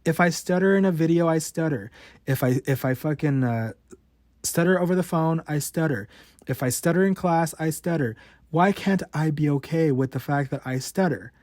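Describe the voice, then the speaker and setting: A man's voice: fairly high pitch, moderate pace, darkish tone, dry recording, studio quality. A man in his late twenties who stutters, hosting a podcast and talking bluntly and frankly about his own life, with frequent swearing. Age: 20s. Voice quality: stutters, with repeated words and cut-off sounds. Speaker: podcast host. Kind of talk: candid personal monologue.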